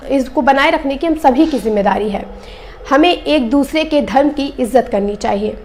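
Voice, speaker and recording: Female news presenter with a high voice, delivering scripted commentary straight to the audience. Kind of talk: scripted news commentary, addressing viewers directly.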